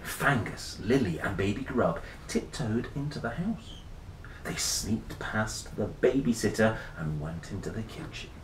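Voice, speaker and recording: A man's voice: mid-range voice, bright, forceful tone. A man reading a children's story aloud in a playful, theatrical way, with casual musing asides. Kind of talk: expressive picture-book read-aloud with casual asides. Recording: roomy echo, faint noise.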